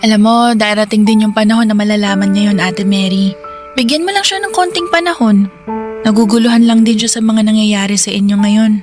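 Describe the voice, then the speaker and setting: A woman's voice: mid-range voice, a little fast, over music, lightly acted. A woman playing an affectionate, grateful young woman in a radio drama, speaking earnestly and tenderly. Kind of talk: Tagalog radio drama dialogue.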